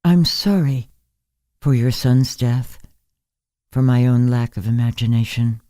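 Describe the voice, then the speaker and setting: Man reading as mellow, tentative young woman. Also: medium pitch, a little slow, dry recording, clean studio sound, natural voice. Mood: awkward, apologetic. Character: young woman carer, tentative, awkward, kindly. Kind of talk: literary story character reading.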